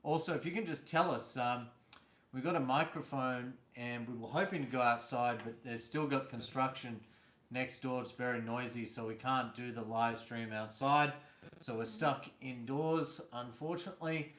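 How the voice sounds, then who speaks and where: A man hosting a live stream from indoors at home, talking casually and teasing his co-host with easy laughs. His voice sits at an ordinary pitch. The room around him rings with a noticeable echo. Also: steady tone, moderate pace, a bit bright. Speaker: vlog host.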